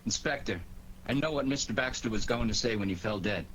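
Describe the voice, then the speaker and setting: An actor playing an earnest, deferential private secretary with an American accent, speaking politely in a 1940s radio drama. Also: male voice, on the low side, a little fast, a bit bright, natural voice. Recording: faintly noisy 1940s radio recording.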